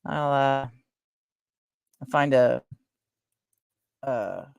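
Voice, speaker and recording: Middle-aged woman, soft voice, rambling casual chat, trailing off mid-thought, dry close sound. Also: rumbling low, very slow, vocal fry, clear, resonant tone, no echo. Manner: meandering, trails off, frequent fillers and self-corrections. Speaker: livestream host.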